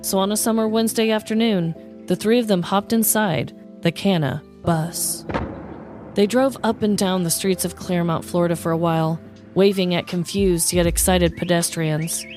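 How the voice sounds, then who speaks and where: A woman's voice: on the low side, steady tone, normal speed, a little mellow, faint music behind. An American woman narrating a scripted comedic true-crime story as a podcast host.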